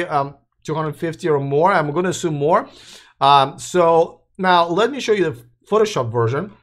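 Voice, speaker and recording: A man hosting a live electric car news stream, chatting casually with viewers while his voice is going hoarse and he keeps coughing. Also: a little high, fairly bright tone. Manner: rambling, restarts, addresses live chat.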